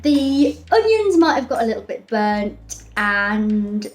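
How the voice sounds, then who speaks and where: A woman's voice: on the high side, big pitch swings, slow pace. A woman chatting casually and cheerfully as she talks through a recipe she is cooking at home. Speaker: home cook presenting a recipe. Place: home kitchen, indoors. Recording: roomy kitchen with music and clattering dishes.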